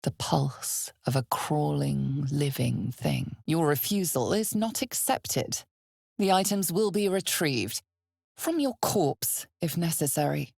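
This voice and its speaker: An ominous, knowing female narrator for a dark fantasy video game. She speaks slowly and dramatically in a low register, often hushed, with heavy vocal fry. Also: no room sound, studio quality, exaggerated voice. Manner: measured, dramatic, often hushed and breathy toward whispering.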